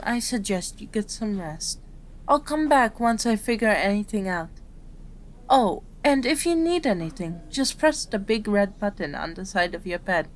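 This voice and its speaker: A woman voicing a gentle, caring fox-spirit doctor in an ASMR roleplay, speaking reassuringly to a patient. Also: medium pitch, natural voice, low-quality recording. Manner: soft-spoken, reassuring, addressing a patient directly.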